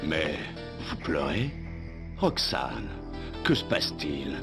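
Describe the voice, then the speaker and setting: An actor voicing a paternal, domineering man in power in a French animated series, smooth and condescending. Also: very deep voice, takes it slowly, over music, full character voice. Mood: concerned, probing.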